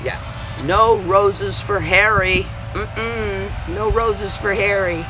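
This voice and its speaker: Slow-paced man hosting children's storytime. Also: high voice, fairly even intonation, heavy background noise. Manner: folksy, repeats questions, talks aloud to companion. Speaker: storytime host reading aloud to children. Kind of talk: casual chat to young children and picture-book read-aloud.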